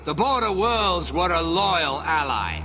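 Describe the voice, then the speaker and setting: High-pitched, theatrical admiral. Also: male voice, lively intonation. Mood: grave, resolute. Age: middle-aged. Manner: theatrical, measured oratory. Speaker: admiral, agency commander. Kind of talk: formal political speech. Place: large assembly hall, at a podium. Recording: film soundtrack with score behind.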